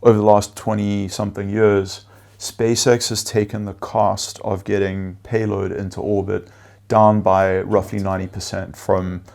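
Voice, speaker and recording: A man whose first language is Afrikaans, giving measured interview answers as a venture investor, with frequent fillers and listed points. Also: on the low side, little pitch movement, creaky, a little mellow.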